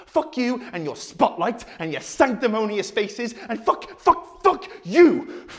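An actor playing a desperate, furious man raging at his audience in a halting, repetitive dramatic monologue. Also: a little high, animated, lightly acted. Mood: enraged, anguished. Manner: halting, repeating, swearing, building to outbursts.

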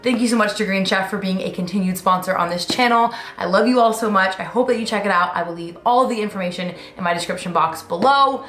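Young female vlogger, twenties, informal and upbeat, mid-pitched voice, echoey room with music underneath. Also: quick pace, hardly any pauses, fairly bright tone. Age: 20s. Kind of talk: casual vlog chat to camera.

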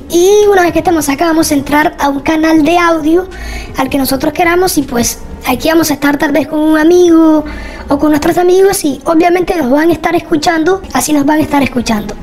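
A man's voice: extremely high pitch, fairly even intonation, brisk, a little mellow, pitched above the performer's own voice. A man speaking as a young woman through a real-time voice changer, chatting through a casual tutorial. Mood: casual, explanatory. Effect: real-time male-to-female voice changer. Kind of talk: casual software tutorial voice-over.

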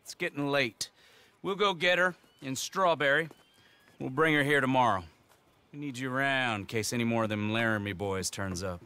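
A man playing a brisk, bossy ranch foreman in a video game, with a rural American accent and a commanding, plain-spoken way. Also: medium pitch, pushed and bright, clean tone. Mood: matter-of-fact. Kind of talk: video game cutscene acting.

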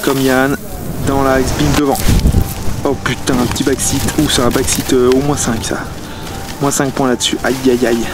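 A young man commenting on friends' ski competition runs outdoors on a mountain, animated and teasing, recorded on an action camera. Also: medium pitch, normal speed, very noisy. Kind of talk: excited live commentary. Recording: music playing underneath.

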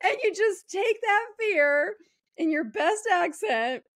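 A woman's voice: very high-pitched, expressive, a bit bright, no room sound, clean studio sound. A woman hosting a podcast interview, bubbly and playful in casual chat. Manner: bubbly, playful, quick bursts of enthusiasm. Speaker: podcast host.

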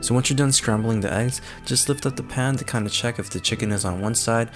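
A man hosting a cooking video, narrating steps in a relaxed, chatty way with self-deprecating asides. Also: medium pitch, brisk. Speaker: cooking channel host. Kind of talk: casual cooking voice-over. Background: guitar music.

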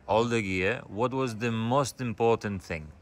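A man's voice: on the low side, unhurried. A man hosting the channel, giving a plain intro and asking direct interview questions.

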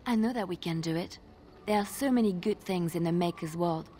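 An actress playing a devout young archer in a fantasy video game, earnest and hopeful, telling things in a hushed, dramatic way. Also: female voice, lowish voice, brisk, slight background noise, close to an everyday voice. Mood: earnest, fervent.

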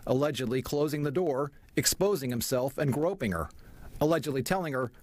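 A man's voice: medium pitch, animated, a little fast, a little mellow, no room sound. An American man in his forties, an investigative television reporter reading scripted news narration.

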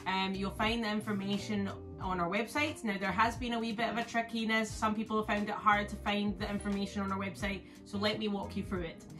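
A Scottish woman in her thirties talks at an ordinary pitch, friendly and methodical as she walks listeners through each step. She is recorded by a camera at table distance in an indoor yarn studio, with faint music behind her. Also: level tone, a little fast, few pauses. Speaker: crochet teacher. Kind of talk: promotional class introduction.

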